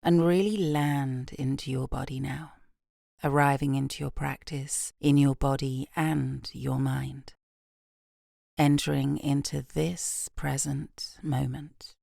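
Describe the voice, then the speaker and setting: A British woman guiding a yoga nidra meditation in a soft, gentle, unhurried voice with long pauses, breathy at phrase ends. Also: rumbling low, no echo, clean studio sound. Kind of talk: guided meditation, slow and soothing.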